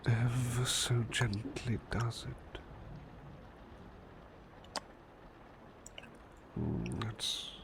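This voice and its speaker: A man acts out a devoted, gentle butler in a personal-attention ASMR roleplay. He speaks slowly and softly with a gravelly creak and lively rises and dips, often dropping to a whisper. His manner is polite, tender and slightly hesitant. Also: on the low side, noisy background, full character voice. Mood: soothing, tender.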